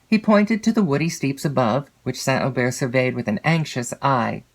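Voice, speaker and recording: A woman reading literary fiction as an audiobook narrator, in a measured, expressive storytelling manner. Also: rumbling low. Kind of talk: scripted literary narration of a classic novel.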